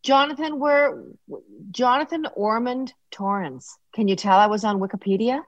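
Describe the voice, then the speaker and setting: A woman's voice: a little high, normal speed, dry recording. A Canadian woman hosting a casual interview podcast, chatty and rambling, often laughing. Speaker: podcast host. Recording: dry, dull lo-fi sound.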